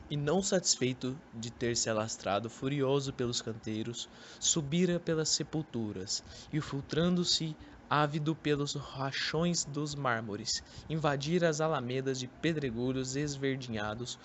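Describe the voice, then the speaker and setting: Young male narrator, faintly noisy recording. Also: medium pitch. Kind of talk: scripted literary radio drama narration.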